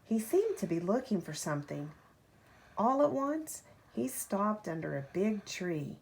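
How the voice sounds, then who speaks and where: A woman reading a children's picture book aloud like a parent at bedtime, with expressive, deliberate phrasing and drawn-out key words. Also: medium pitch, creaky. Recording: home recording with faint background noise.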